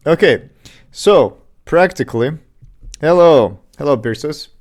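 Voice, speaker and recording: A man's voice: fairly high pitch, slow. A man streaming his game development live, chatting casually with fillers and restarts while getting over an illness with a slight cough. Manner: relaxed, rambling, many fillers and restarts.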